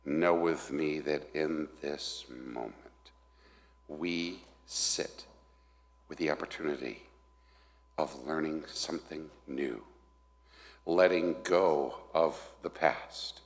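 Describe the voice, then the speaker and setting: A man with a big, very deep voice leads a prayer at an unhurried pace. His words carry through a large hall with a long, echoing reverb. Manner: says he has a big voice. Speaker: leading an opening prayer. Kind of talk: slow guided prayer. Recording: echoey hall.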